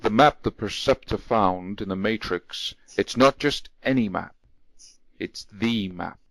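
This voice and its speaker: A reverent robot believer acted by a man speaks fervently in an audio drama. The voice is fairly low and recorded very dry. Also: a little slow, gravelly, fairly bright tone, no echo. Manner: fervent, earnest. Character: reverent male robot believer in ancient legends.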